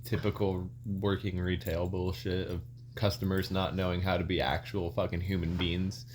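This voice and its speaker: A young man in his twenties co-hosting a casual podcast, laid-back and swearing often, getting animated when he tells stories. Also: sits low, normal speed, slight background noise. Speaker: podcast co-host.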